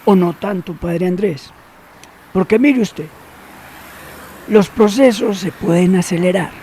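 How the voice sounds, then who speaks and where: An actor playing a secretive, cynical older man in a radio drama, who talks slowly and knowingly and drops to near whispers. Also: high-pitched, mellow, slight background noise. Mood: sly.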